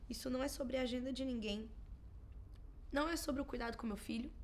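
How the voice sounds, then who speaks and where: A Brazilian woman in her thirties chats casually as a podcast co-host. Her voice sits a little high, and her storytelling is animated, with quoted lines acted out. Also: fast, a little hiss or hum. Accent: Brazilian Portuguese. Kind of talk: casual chat.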